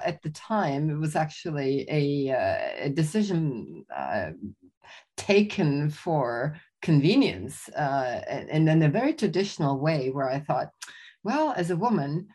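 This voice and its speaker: An older woman from Austria, answering interview questions thoughtfully, with frequent pauses and restarts. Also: deep voice, darkish tone. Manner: thoughtful, many fillers and restarts. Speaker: guest, professor emerita. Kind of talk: conversational interview.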